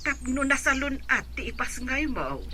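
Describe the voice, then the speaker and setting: An actress with an Ilocano accent playing a kindly, doting aunt who gives advice in a radio drama, faint noise behind. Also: female voice, sits high, sing-song, normal speed, bright, forceful tone, heavily acted. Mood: caring, advising.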